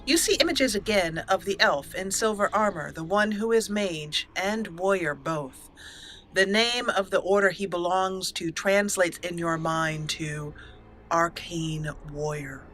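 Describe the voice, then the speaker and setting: A woman's voice: fairly low pitch, a little slow, fairly bright tone, faint music behind. A woman hosting a let's play, switching between storytelling narration and commentary on her roleplay game.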